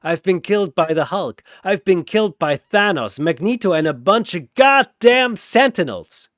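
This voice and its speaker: A man voicing a gruff, defiant, feral brawler who taunts and growls in an animated story. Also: high voice, normal speed, dry recording, studio quality, pitched above the performer's own voice. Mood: snarling contempt.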